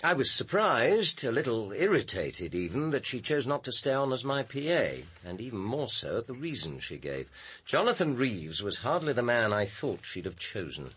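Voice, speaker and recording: A man's voice: mid-range voice, very animated, normal speed, fairly bright tone, husky, exaggerated voice. An actor playing a reserved, self-important professional man in a radio drama. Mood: cool, reflective.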